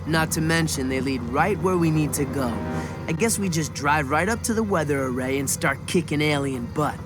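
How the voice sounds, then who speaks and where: Guy doing a sarcastic teen tough guy for a game, slightly high for a man, music behind. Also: moderate pace, lightly acted. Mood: cocky, teasing. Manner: wry, sardonic, slangy. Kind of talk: video game cutscene voice acting.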